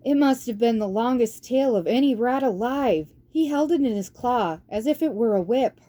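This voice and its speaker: Woman doing a young boy mouse from a kids book, earnest and eager, gentle tone. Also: fairly high pitch, expressive, moderate pace, voice pushed up. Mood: earnest, excited.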